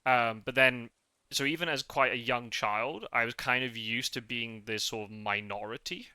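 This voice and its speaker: A British man who grew up in the north of Scotland, talking casually and softly with many restarts. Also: mid-range voice, moderate pace, clean tone, no echo. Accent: British English with Scottish upbringing. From England. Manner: soft-spoken, rambling with many restarts. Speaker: podcast host. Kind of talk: casual conversation.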